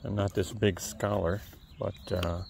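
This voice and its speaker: A man talks in a relaxed, rambling way to his viewers while working outdoors. His voice is soft and a bit low with a gravelly edge. The close camera picks up clear background noise. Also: unhurried. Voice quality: soft, calm voice. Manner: chatty, rambling asides to the viewer. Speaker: video creator. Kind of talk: casual how-to talk while working. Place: outdoors on a lawn. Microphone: camera held close, point of view.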